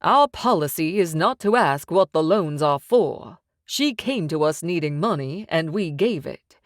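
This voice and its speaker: A woman's voice: low voice, expressive, measured pace, no echo, studio quality. A woman voicing a smug, menacing male mob boss who talks with amused, sing-song menace.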